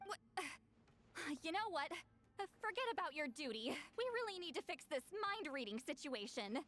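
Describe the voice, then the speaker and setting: Huffy, prickly young shaman girl, bright and springy in pitch, for a game. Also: sing-song, normal speed, slight background noise. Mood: flustered. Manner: brusque and huffy. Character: proud, prickly young female shaman feared as a granny.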